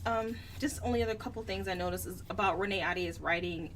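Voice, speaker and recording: A woman's voice: fairly low pitch, fast talker. A woman co-hosting a podcast, giving casual book critique in a blunt, opinionated way. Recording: distant mic, faint background noise.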